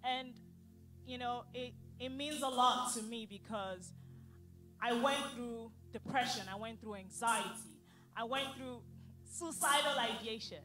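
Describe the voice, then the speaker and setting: Young woman sharing her testimony in church, bit high voice, echoey big hall, music playing loud under her. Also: unhurried. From Maryland, United States. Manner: quiet and subdued, rising to loud passionate declaring. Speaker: congregation member giving testimony. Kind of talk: personal testimony. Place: large church auditorium among the congregation. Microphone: handheld mic.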